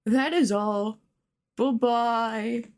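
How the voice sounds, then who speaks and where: A woman's voice: fairly high pitch, steady tone, extremely slow. A woman giving prepared fan commentary as a listener's voice message.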